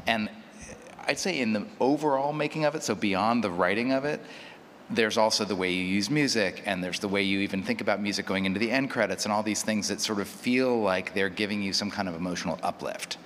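Deep-voiced man in an echoey hall. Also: a little fast, fairly bright tone. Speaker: panelist, audio drama producer. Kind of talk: panel discussion, explaining. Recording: echoey hall with faint room noise.

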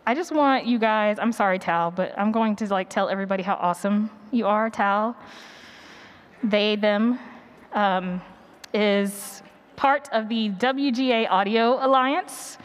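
A woman's voice: medium pitch, unhurried. A woman presenting a workshop, chatting casually and playfully with her audience from a stage. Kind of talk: casual chat with audience before a talk. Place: on a stage at a live conference session. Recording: noticeable background noise, live event ambience.

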